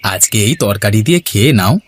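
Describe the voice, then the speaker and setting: A man voicing a good-natured village farmer, husband and father in a cartoon, speaking in a gentle, homely way. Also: fairly low pitch, lively intonation, a little fast, close to an everyday voice. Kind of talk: Bengali cartoon dialogue. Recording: clearly noisy, birds and outdoor ambience.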